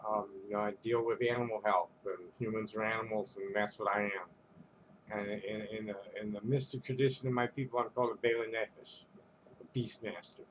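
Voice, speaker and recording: A man's voice: on the low side, steady tone, brisk, a bit bright, a little hiss or hum, poor audio. A man ranting to camera in a casual vlog, rambling and profane, stumbling over restarts and chuckling between phrases. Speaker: vlogger.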